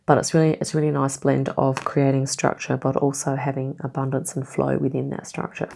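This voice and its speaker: A woman giving a casual tarot reading, rambling gently with lots of ums and okays, a bit nasal from a cold. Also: very deep voice, monotone, a little fast, gravelly. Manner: meandering, many ums and okays, gentle reassuring tone. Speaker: tarot reader.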